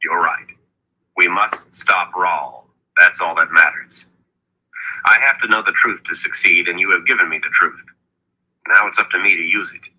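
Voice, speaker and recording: A man voicing a young hero in an audiobook, earnest, resolute and quick to feel wronged. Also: sits low, bright, forceful tone, breathy edge, exaggerated voice, rough recording. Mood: calm, determined. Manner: earnest, determined. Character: young male hero, earnest, hot-tempered but resolute.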